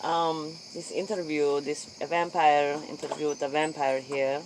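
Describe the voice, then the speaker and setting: A man acting as an earnest interviewer in a comic role-play, speaking deliberate broken English. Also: fairly high pitch, flat delivery, poor audio. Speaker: interviewer in character. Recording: faint noise, crickets behind.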